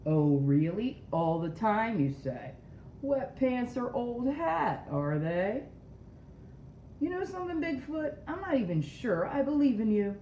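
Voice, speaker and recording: A woman playing an indignant, melodramatic storybook fellow, wildly swooping pitch. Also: sing-song, unhurried, warm tone. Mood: sarcastic, accusing. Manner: accusing, melodramatic, sarcastic. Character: indignant, self-important male storybook character accusing his friends. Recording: roomy echo, dull sound, faint noise.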